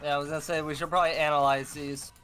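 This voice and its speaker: A man's voice: a little high, little pitch movement, racing, a bit bright, soft background music. A man giving casual let's play commentary as he plays a game.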